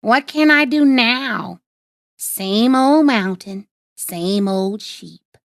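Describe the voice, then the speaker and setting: A woman voicing a mischievous, easily bored young shepherd boy in a storybook, with exaggerated, drawn-out delivery. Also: fairly high pitch, no echo, studio quality. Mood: bored, groaning. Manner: exaggerated, drawn-out shouts and groans.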